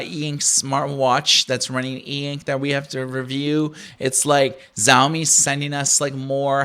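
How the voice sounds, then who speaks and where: Canadian dude reviewing gadgets off the cuff, average pitch, rambles and stumbles, lots of slang. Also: male voice, a bit bright. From Ontario, Canada. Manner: slangy, rambling, halting delivery. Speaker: podcast host and product reviewer. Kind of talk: casual unscripted tech commentary.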